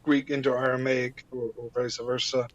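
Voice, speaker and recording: A man's voice: medium pitch, level tone, normal speed, highs cut off. An Icelandic man, a native Icelandic speaker, talking as a podcast guest in a casual interview, hesitant, with many fillers and restarts.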